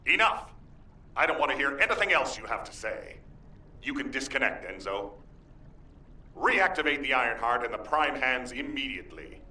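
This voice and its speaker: Very deep, gravelly military commander. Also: male voice, sing-song, heavily acted. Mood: angry. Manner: authoritative, measured, formal delivery. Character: commanding, resolute older male military leader. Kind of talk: video game voice acting.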